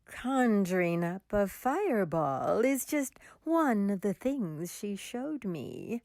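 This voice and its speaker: A woman's voice: medium pitch, sing-song, takes it slowly, a little mellow, no echo, clean studio sound. A woman playing Ellen, taunting vengeful witch. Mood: cold. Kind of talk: radio drama acting.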